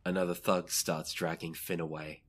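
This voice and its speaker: A man with a low, husky voice acts as game master for a tabletop game. He describes the action as he goes and checks rules with the players. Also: flat delivery, normal speed. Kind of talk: improvised tabletop game narration.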